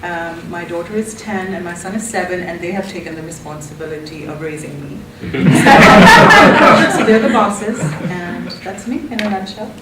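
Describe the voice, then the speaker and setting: A woman's voice: lowish voice, expressive, moderate pace, few pauses. Pakistani woman, laughing, distant roomy mic. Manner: warm, laughing, many ums. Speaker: guest, marriage and family therapist. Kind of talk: conversational interview. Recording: roomy, from a distance, background noise.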